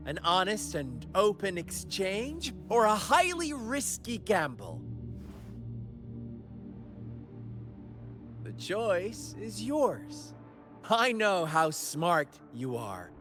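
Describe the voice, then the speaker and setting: A man voicing a taunting, playfully menacing video game villain with theatrical, teasing, drawn-out delivery over music. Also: high voice, full character voice. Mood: mocking, playful. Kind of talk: dramatic video game voice acting.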